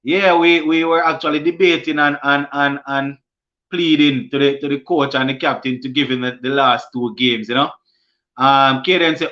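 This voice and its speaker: A Jamaican man hosting a casual cricket live stream, reading viewer comments aloud and answering them, often halting and restarting mid-sentence. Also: a little high, sharp tone, lo-fi sound. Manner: halting, many restarts and fillers.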